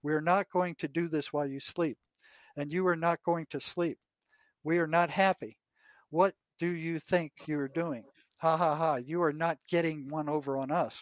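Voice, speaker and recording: An older American man in conversation, telling anecdotes and reading aloud from notes in a halting way, often repeating his words. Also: fairly high pitch, steady tone, dry recording. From United States. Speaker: retired psychotherapist, podcast guest.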